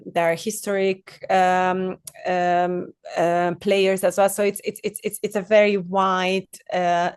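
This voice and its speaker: A woman's voice: fairly low pitch, smooth, dry recording, studio quality. A woman from Hungary, a professional flutist, talking about her career over a video call with many small restarts and fillers. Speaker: flutist and guest. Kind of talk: interview conversation.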